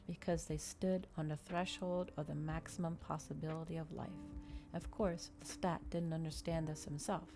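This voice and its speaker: A woman reading a novel aloud in a soft, measured ASMR style, close to the microphone. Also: low-pitched.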